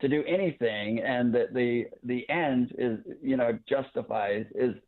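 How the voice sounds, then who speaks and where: A man giving unscripted legal analysis as an interview guest over a thin phone-like line, in a halting, deliberate way. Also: medium pitch. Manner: halting, deliberate, repeats words as he goes. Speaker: guest legal commentator, former prosecutor.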